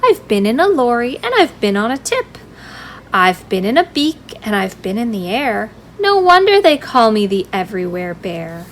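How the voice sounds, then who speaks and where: A woman's voice: a little high, sing-song, normal speed, slight background noise. A woman voicing a contented, well-travelled teddy bear in a storybook, reciting his adventures in rhythmic rhyme. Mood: proud.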